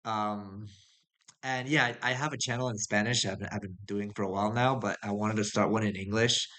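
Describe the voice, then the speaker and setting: A man's voice: lowish voice, fairly even intonation, fairly quick, sharp tone. A young man from the United States telling his life story in a casual, rambling way, with many fillers and restarts. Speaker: vlogger. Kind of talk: casual personal monologue.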